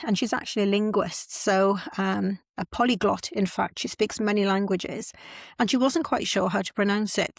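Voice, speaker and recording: Gravelly woman podcast host. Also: medium pitch, no echo, clean studio sound. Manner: explanatory, spells out words letter by letter. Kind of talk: podcast narration and interviewing.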